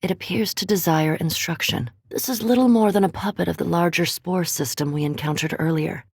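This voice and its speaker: Erudite vampire scholar, female, fantasy game. Low, breathy-edged voice, precise formal delivery, cool curiosity, close dry recording. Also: brisk, no echo. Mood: dry, analytical. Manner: formal, precise, dryly intellectual. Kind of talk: scripted fantasy game dialogue.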